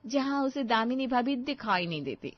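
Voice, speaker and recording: A woman narrating a scripted moral story in an expressive, dramatized storytelling manner. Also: on the high side, normal speed, lo-fi sound.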